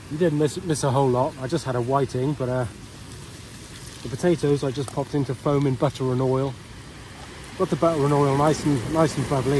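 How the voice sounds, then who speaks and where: A man, chatting casually and in an offhand way as a fishing and cooking vlogger. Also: mid-range voice. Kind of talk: casual chat to camera. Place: open shingle beach beside a shelter. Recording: noisy outdoor ambience, wind and waves.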